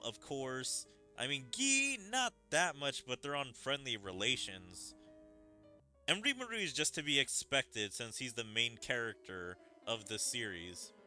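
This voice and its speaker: Bright-voiced man, casual gaming commentary. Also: medium pitch, sing-song, a little slow, soft background music. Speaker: gaming streamer.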